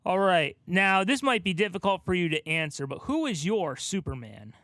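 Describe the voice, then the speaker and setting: Higher-voiced man chatting casually, dry sound. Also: sing-song, moderate pace, pushed and bright, no echo. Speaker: podcast co-host asking questions. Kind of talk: casual pop-culture chat.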